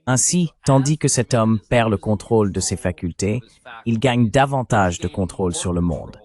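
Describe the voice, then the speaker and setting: A man's voice: on the low side, lively intonation, moderate pace, a little mellow. A man's AI dubbing voice giving a psychologist's answers in an interview, as a translated voice-over.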